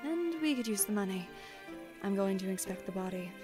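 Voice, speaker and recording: A woman's voice: mid-range voice, lively intonation, brisk, over music, close to an everyday voice. A woman playing an assertive army sergeant and investigator in a fantasy audio drama, matter-of-fact and authoritative.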